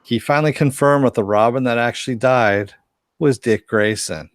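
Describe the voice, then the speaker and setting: A man co-hosting a podcast, bringing up news and making quick puns in casual chat. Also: medium pitch, no echo, studio quality.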